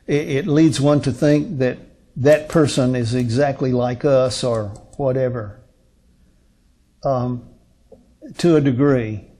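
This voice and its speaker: An older man gives a sermon as a pastor, taking scripture apart at an unhurried pace. His voice is mellow and mid-pitched. He speaks deliberately, leaning on key words and pausing between short phrases. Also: fairly even intonation. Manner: deliberate, emphatic, with rhetorical questions and pauses. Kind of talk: sermon, expository Bible teaching from a lectern. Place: indoor lectern on a curtained stage.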